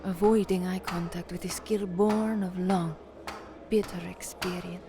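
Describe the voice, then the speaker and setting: An actress playing an anxious, earnest young woman from the slums in a dramatic first-person audio story, measured and introspective. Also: fairly low pitch, fairly even intonation, very noisy, close to an everyday voice. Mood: weary, tense.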